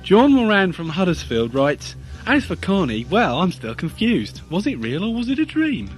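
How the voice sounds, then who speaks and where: A man's voice: high voice, wildly swooping pitch, normal speed, faint music behind, low-quality recording. A man reading listeners' letters aloud in a scripted style.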